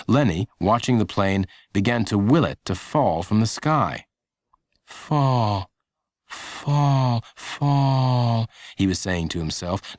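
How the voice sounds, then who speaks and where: Deep-voiced man narrating a children's story. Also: no echo, clean studio sound. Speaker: audiobook narrator.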